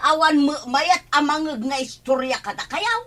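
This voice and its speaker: A tiny squeaky huffy gossip lady, super high and sharp. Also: moderate pace. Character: hurrying, huffy female village gossip. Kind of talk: comedy drama acting.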